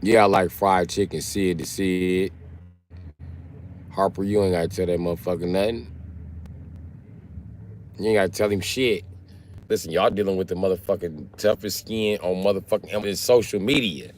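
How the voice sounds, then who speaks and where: A man's voice: low-pitched, normal speed, long pauses, slight background noise. A man in his late thirties chatting casually on a livestream, brash and blunt, with slangy, profane talk. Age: late 30s. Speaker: live streamer. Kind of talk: casual profane livestream chat answering commenters.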